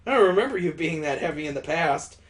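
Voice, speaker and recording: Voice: medium pitch, animated, brisk, close to an everyday voice. A let's play host voicing a weary, good-humored father in a visual novel, teasing yet sheepish.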